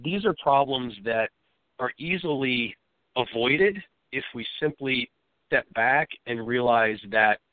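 A man with a cold and a slightly raspy voice, answering interview questions as a safety expert in an easygoing, explanatory way. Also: medium pitch, takes it slowly, dry recording. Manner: explains at length in a plain, easygoing way. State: has a cold, a bit raspy. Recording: dry, phone-like line.

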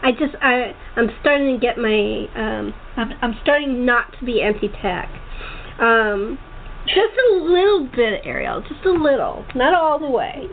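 A woman hosting a casual interview, speaking haltingly with repeated and restarted phrases. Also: fairly high pitch, big pitch swings. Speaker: talk show host. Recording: tinny phone line with clear background noise.

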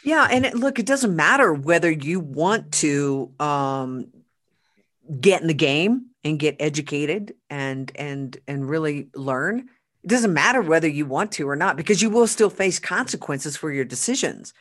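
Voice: mid-range voice, animated, a bit bright. Emphatic podcast host. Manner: emphatic, repeats words, reads quotes slowly. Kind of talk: casual podcast chat.

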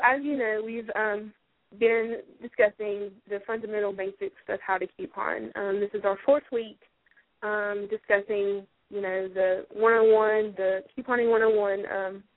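A woman's voice: medium pitch, no room sound. A woman who is a guest on a radio show, explaining her topic in a friendly way with frequent "um"s. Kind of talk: explanatory talk segment. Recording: dry, tinny phone line.

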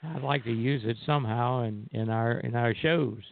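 A man's voice: mid-range voice, moderate pace, gravelly. A man co-hosting a radio show, chatting casually and informally about the programme. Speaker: radio show co-host and producer. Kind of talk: casual chat. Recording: dry phone-like internet radio line.

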